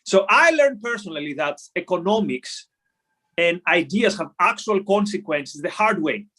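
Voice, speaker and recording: High-voiced man with a Greek accent. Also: normal speed. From Greece. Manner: animated, with many fillers and restarts. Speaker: podcast host. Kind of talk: solo explainer talk.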